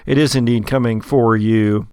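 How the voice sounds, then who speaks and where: A man's voice: mid-range voice, fairly even intonation, moderate pace, mellow, smooth, dry recording, clean studio sound. A man narrating a tabletop horror game as game master, in a descriptive storytelling manner.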